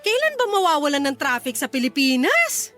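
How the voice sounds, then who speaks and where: A woman's voice: sits high, big pitch swings, fairly quick, pushed and bright, soft background music, heavily acted. A woman acting an irritated, picky young single mother with blunt, huffy retorts in a Filipino radio drama. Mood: grumbling.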